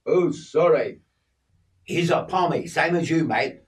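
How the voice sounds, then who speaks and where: A man's voice: fairly high pitch, unhurried, distant mic, pitched above the performer's own voice, lightly acted. A man voicing a friendly, chatty young country lad with an Australian accent. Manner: chatty, easygoing.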